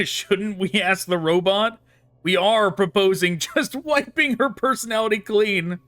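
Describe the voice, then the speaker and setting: A man's voice: high voice, unhurried, a bit bright. A man giving casual, informal let's play commentary with amused musing as he plays. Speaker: gaming streamer.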